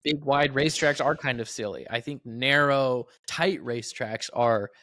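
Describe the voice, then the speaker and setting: A man's voice: medium pitch, moderate pace, fairly bright tone, dry recording. Male American podcast co-host, mid-range voice, conversational debater of racing matters, dry close recording. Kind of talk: casual motorsport podcast discussion.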